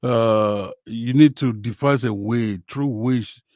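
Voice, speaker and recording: Nigerian man, Yoruba native, livestream host, unhurried, halting speech, dry close sound. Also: mid-range voice, a little mellow, no echo. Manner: slow, hesitant, many fillers. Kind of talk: casual football talk livestream. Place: home, in Western Australia.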